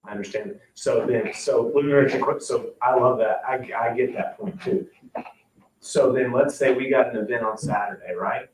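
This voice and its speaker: A man on a board, asking questions and thinking out loud in an informal meeting discussion. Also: medium pitch, normal speed. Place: indoor public meeting room. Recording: echoey room, distant mic, faint noise.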